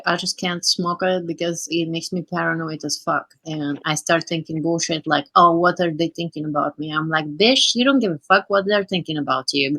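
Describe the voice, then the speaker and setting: A woman's voice: fairly low pitch, sharp tone, no room sound, rough recording. A woman from Bulgaria chatting casually and frankly on a group call, swearing now and then. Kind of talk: casual chat.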